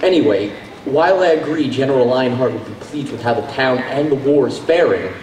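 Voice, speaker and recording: A man's voice: medium pitch, animated, a little fast, noisy background, lightly acted. A man acting a proud, reckless winged pony general with dry, wry humor in a fan radio drama. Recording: echoey playback from far off.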